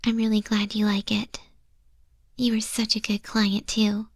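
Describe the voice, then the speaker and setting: A woman voicing a gentle, sincere moth monster girl tattoo artist in a soft, breathy, tender ASMR roleplay. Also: mid-range voice, monotone, measured pace, dry recording, clean studio sound. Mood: softly pleased.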